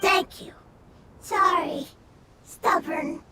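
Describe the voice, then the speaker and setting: A woman voicing a small innocent creature in a game, squeaky and speaking in halting broken phrases. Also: very noisy, exaggerated voice. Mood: sincere. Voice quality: tiny, squeaky.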